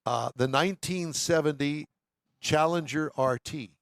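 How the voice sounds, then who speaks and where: A man's voice: on the high side, unhurried, fairly bright tone, no echo, clean studio sound. An older American man co-hosting a radio show, chatting casually and reading items aloud in a jokey, conversational way. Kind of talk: casual banter and reading news stories aloud.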